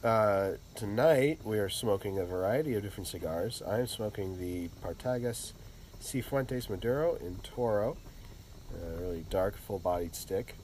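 A man with a stuffy, congested voice from a cold, hosting a casual podcast chat in a relaxed, rambling way. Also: on the low side, normal speed, a little hiss or hum, low-quality recording. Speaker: podcast host.

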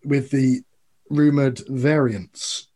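A man's voice: medium pitch, fairly even intonation, extremely slow, darkish tone. A man in casual discussion, earnest and hedging, explaining his points with examples.

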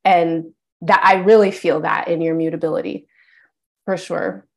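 A woman's voice: lowish voice, fairly even intonation, moderate pace, creaky, a little mellow. A woman astrologer giving a casual, meandering reading of a friend's chart, affirming and full of fillers.

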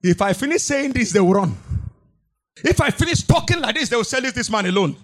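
High-pitched fiery preacher man. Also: big pitch swings, fairly quick. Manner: shouting, sing-song preaching cadence with repeated phrases. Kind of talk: fiery sermon.

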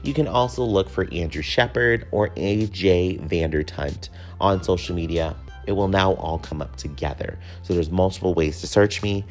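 A man's voice: low voice, normal speed, soft background music. A man hosting a podcast, talking casually and candidly in a personal, conversational monologue with some casual swearing. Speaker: podcast host.

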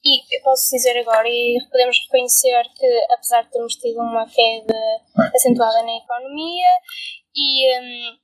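A young woman with a European Portuguese accent, chatting on a podcast, often agreeing with the others and pausing on fillers as she talks. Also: on the high side, steady tone, no room sound, rough recording. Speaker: podcast co-host. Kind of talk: casual podcast discussion.

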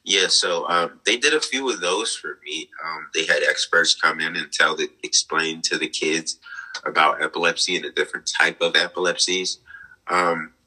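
A young man in his twenties with a very deep, bright and husky voice. He tells his story earnestly, pausing on fillers and laughing softly. He talks over a remote call. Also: steady tone, poor audio. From United States. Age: 20s. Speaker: guest. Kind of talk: conversational interview, personal story.

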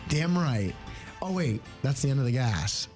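A man's voice: medium pitch, animated, unhurried, darkish tone, faint music behind. A man hosting a morning radio show, chatting loosely with lots of fillers. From New Jersey. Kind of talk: casual morning radio banter.

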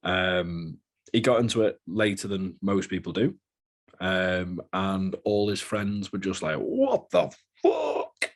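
A man's voice: low voice, sing-song, slow pace. A British man hosting a podcast in a chatty, joking, rambling way. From Britain. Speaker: podcast host. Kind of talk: casual podcast chat.

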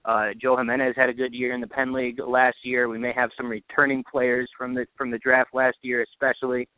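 A man's voice: mid-range voice, monotone, a little fast, no room sound. A man on a tinny phone-like line, a sports announcer giving casual analysis as a podcast guest.

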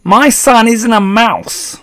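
A man's voice: very high voice, takes it slowly, voice pushed up, full character voice. A man voicing an angry, blustering middle-aged English father in a storybook, rude and spluttering. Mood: furious, shouting. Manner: rude, shouting, spluttering, stammering when shocked.

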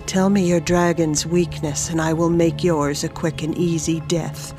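A woman's voice: low-pitched, level tone, measured pace, over music. A woman voicing an angry male elf hero who makes stern, defiant demands in a fantasy audiobook. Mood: threatening.

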